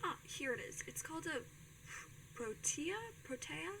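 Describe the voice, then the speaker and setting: A woman playing a helpful, hesitant little sister in an audio drama, soft-spoken and tentative. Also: high-pitched, unhurried, exaggerated voice, low-quality recording. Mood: curious, tentative. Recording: faint ambient noise behind.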